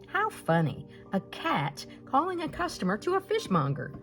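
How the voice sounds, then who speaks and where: A woman reader puts on a young lady from a folktale storybook. She is graceful, courteous and charmed, with a lifted pitch and very lively melody. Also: normal speed, faint music behind, exaggerated voice. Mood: amused.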